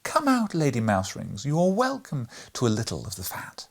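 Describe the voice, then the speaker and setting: A man's voice: mid-range voice, wildly swooping pitch, brisk, pitched above the performer's own voice. A man voicing a kind, benevolent queen who speaks in a gracious, welcoming way.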